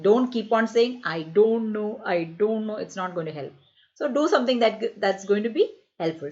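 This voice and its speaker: A woman from India teaching an English lesson to children, repeating words slowly and coaxing her pupils to speak. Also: mid-range voice, highs cut off.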